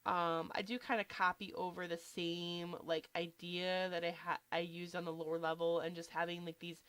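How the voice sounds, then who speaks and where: A woman with a slight cold, a little nasal, casually narrating a game build in a relaxed, chatty way with lots of fillers. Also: fairly low pitch, normal speed, smooth, no room sound. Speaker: game building video creator.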